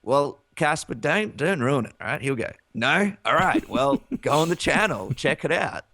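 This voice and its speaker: A man's voice: on the high side, expressive, normal speed, a bit bright, no echo, studio quality. A man from Ukraine co-hosting a combat sports podcast in casual chat, laughing often as he talks. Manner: chatty, laughs often, promotes channels. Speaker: podcast co-host.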